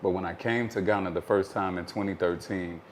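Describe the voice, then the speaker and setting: An American man as an interview guest, telling his story in a thoughtful, measured way with frequent fillers. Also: sits low, fairly even intonation, slight background noise. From United States.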